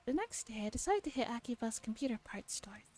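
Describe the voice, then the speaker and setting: A woman streamer reading a visual novel aloud in a soft ASMR style, drifting between quiet speech and whispering. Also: on the high side, very animated, a little fast.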